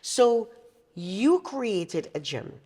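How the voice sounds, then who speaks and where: A woman hosting a talk show interview in an affirming, expressive way. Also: medium pitch, very slow, breathy edge.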